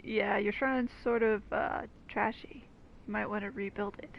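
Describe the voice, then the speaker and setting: A woman's voice: medium pitch, steady tone, normal speed, creaky, dull audio, noisy background. A woman giving casual gaming commentary in a joking, offhand manner. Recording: game music behind the voice.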